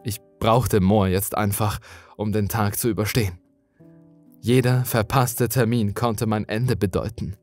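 A man's voice: fairly low pitch, fairly even intonation, measured pace, darkish tone, faint music behind. A man reading a horror story as dramatic scripted narration.

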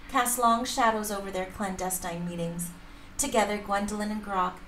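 Middle-aged woman, medium pitch, careful story reading, echoey room, slight background noise. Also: a bit bright. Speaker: reader of a romance story. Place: home room, indoors, at a desk. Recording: roomy sound, faint background noise.

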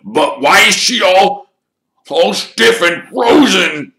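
A man's voice: high-pitched, lively intonation, takes it slowly, bright, forceful tone, reverberant, pitched above the performer's own voice, full character voice. Shrieking, bitter male caretaker. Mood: choked, distraught. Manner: shrieking, spitting, choked accusations. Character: bitter, hysterical male caretaker, grief-stricken and spiteful.

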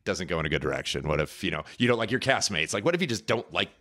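A man's voice: sits low, lively intonation, racing, a bit bright. A middle-aged man hosting a podcast in a casual, conversational way. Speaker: podcast host. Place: room at home, indoors. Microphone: close podcast microphone with headset.

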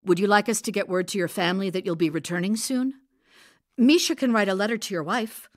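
A woman playing a composed, caring village healer in an audio drama, steady, practical and coaxing. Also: medium pitch, a little fast, no room sound, studio quality, lightly acted. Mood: gentle, probing.

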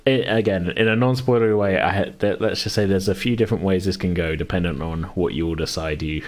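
A man with a low voice hosts an informal podcast. He talks off the cuff, often circling round a phrase before settling on it. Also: fast. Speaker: podcast host and game master. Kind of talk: casual unscripted podcast chat.

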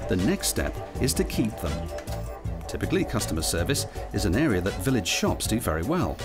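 A man with a low voice reads scripted training-film narration. His pitch moves in a lively way, and music plays clearly behind him. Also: fairly quick. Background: background music.

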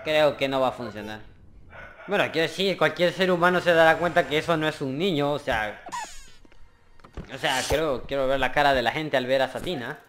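A man's voice: fairly high pitch, a little fast, fairly bright tone, a little hiss or hum. A young adult man giving casual reaction commentary, often repeating words in an incredulous way, recorded on a close mic. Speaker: reaction video host. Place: small bedroom indoors. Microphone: close studio mic on boom arm. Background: cartoon audio and music playing.

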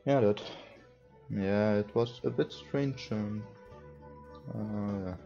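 A young man, not a native English speaker, doing a casual let's play and reading game dialogue aloud in a halting way. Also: on the low side, creaky, mellow. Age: young adult. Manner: halting, stumbles while reading. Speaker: let's player. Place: indoor room at a computer. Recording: dull lo-fi sound. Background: game music.

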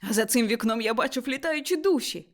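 A woman voicing an elderly male miser, giddily overjoyed and reborn, exclaiming and repeating himself excitedly in a storybook reading. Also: fairly high pitch, fast, close to an everyday voice. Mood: giddy joy. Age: older.

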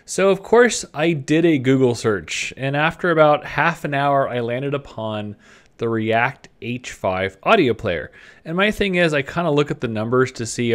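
Man with lively, swinging intonation giving a tutorial. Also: medium pitch. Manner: conversational, thinking aloud while demonstrating. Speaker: presenter of a web development tutorial, designer. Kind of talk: informal screen-share tutorial narration.

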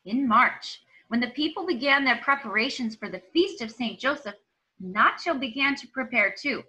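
A woman in her thirties, a teacher, reads aloud to children in an expressive, deliberate storybook style, recorded at home on a nearby device. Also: sits high, poor audio. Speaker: teacher reading aloud to pupils. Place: small room at home.

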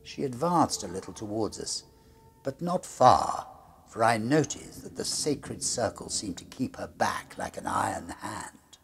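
A middle-aged man with a husky, gravelly voice narrating a gothic ghost story in a measured, suspenseful, theatrical way. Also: fairly low pitch, lively intonation.

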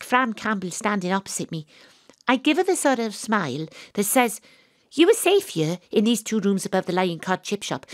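A woman's voice: medium pitch, no room sound, studio quality, close to an everyday voice. A woman playing a wry, world-weary private detective who narrates her own story in a confiding way in an audio drama. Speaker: narrator and lead character.

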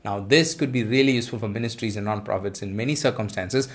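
A man's voice: fairly low pitch, fast talker. A middle-aged man hosting a weekly tech news show for church leaders, explaining each item steadily with frequent uh fillers. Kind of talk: news roundup to camera.

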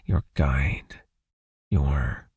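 Male vampire captor, acted by a man for ASMR roleplay: very husky, unhurried, close dry sound, possessive yet soothing, coaxing his knight into obedience. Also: dry recording, exaggerated voice. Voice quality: husky, breathy, low, often near a whisper. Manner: slow, coaxing, gently commanding. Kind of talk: intimate ASMR hypnosis roleplay.

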